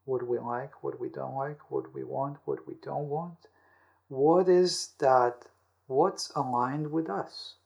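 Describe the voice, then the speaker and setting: A man with a medium-pitched voice and a noticeable creak explains personal-growth ideas in an interview. He sounds earnest and often repeats or rewords his phrases as he builds a point. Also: fairly even intonation, slow, darkish tone, highs cut off. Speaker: podcast host and author being interviewed. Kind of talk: explanatory interview talk on self-help.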